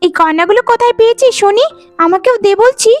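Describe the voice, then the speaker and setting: Casting: spiteful twin-sister wife, extremely high voice, theatrical cartoon whine, loud music underneath. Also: female voice, fast talker. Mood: demanding, envious. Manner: theatrical, whining, scolding. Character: jealous, scheming young wife, twin sister, whiny and spiteful. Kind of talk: melodramatic cartoon voice acting.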